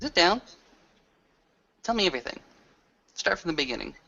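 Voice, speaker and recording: Forceful man acting a commanding werewolf, curt, bossy, tabletop roleplay, muffled lo-fi sound. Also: high-pitched, big pitch swings, normal speed, creaky, pitched above the performer's own voice, full character voice. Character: Alan, commanding male werewolf ordering others around.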